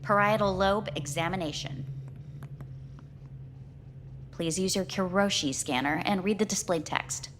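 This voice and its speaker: Clear background noise surrounds an actress as a female medical examiner in a sci-fi game. Her voice is fairly low, and she gives orders in a clipped, impersonal, official manner. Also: measured pace, pauses often, lightly acted. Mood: detached, procedural. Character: cold, procedural female doctor running cognitive tests. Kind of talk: scripted clinical instructions. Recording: clearly noisy background ambience.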